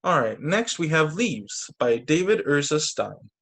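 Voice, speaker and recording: Guy teacher reading picture books to little kids, really animated up and down voice, chatty little asides. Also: fairly high pitch, unhurried, gravelly. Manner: expressive, with brief casual asides. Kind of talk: animated children's story reading. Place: remote video story time.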